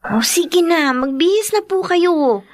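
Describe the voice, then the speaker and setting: A woman playing a patient, caring fifteen-year-old daughter in a radio drama, earnest and gently chiding. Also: very high-pitched, lively intonation, slow, dry recording, exaggerated voice. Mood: tender.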